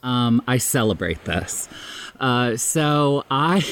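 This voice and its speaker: A man's voice: medium pitch, very animated, very slow, creaky, a bit bright. Exuberant, giggly man over background noise. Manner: giggly, exuberant, self-mocking. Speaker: podcast guest. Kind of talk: casual chat, animated storytelling.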